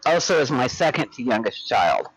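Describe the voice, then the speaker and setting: A woman's voice: rumbling low, very animated, moderate pace, slightly rough, no echo, soft background music, low-quality recording. A woman in her fifties chatting casually on voice chat, teasing and laughing often. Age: 50s. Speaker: streamer and raid player.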